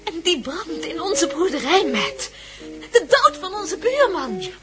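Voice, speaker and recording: A woman's voice: very high voice, huge pitch swings, takes it slowly, over music, heavily acted. A woman acting in a radio drama as an anxious, earnest companion of a band of adventurers. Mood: insistent.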